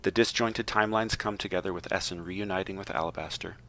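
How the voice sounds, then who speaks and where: Low, bright-voiced man reading wryly. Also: quick pace, slight background noise. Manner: wry, tongue-in-cheek delivery. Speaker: podcast co-host reading a book synopsis. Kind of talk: reading a prepared summary with joking asides.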